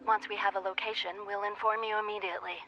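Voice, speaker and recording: A woman's voice: medium pitch, flat delivery, quick pace, bright, forceful tone, muffled recording. A woman playing an efficient, polite operations officer in a video game, formal and businesslike.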